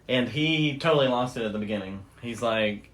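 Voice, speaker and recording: A man's voice: mid-range voice, fairly quick, fairly bright tone, low-quality recording. A man hosting a podcast, telling a story in a casual, informal and loosely phrased way. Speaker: podcast host.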